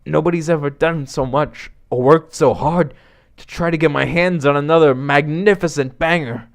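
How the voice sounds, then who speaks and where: Guy doing a needy slacker bro for a story, insecure and emotional, halting slangy talk, kinda puts himself down. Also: a little high, creaky, voice pushed up. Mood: grateful, emotional. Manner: halting, self-deprecating, casual slangy talk. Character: slacker hot-dog-obsessed male would-be friend, insecure, emotional, dude-ish.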